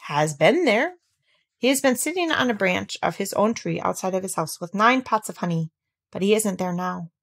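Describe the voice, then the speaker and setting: A woman's voice: medium pitch, animated, vocal fry, slightly rough. Woman voicing a pompous, grave storybook owl. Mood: matter-of-fact. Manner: pompous, measured, formal. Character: pompous, grave male owl using long words. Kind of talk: children's storybook character voice.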